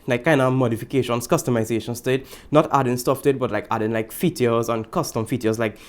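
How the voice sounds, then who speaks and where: A man's voice: mid-range voice, fairly even intonation, fast. A young man from Trinidad and Tobago with a Trinidadian accent, casually reviewing a tablet in a chatty, joking way. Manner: laid-back, chatty, repeats slang, jokes aside. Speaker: tech vlogger.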